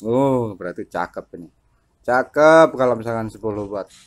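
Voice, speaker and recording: Man, with tinge, average pitch, casual radio chat, chatty, slightly halting. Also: expressive. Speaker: amateur CB radio operator and vlogger.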